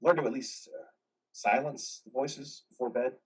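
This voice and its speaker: A man's voice: mid-range voice, steady tone, normal speed, husky, recorded from a distance, natural voice, poor audio. A man playing a troubled, defiant war veteran in psychiatric care, earnest and naturalistic in a dramatic play reading. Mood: calmer, hopeful.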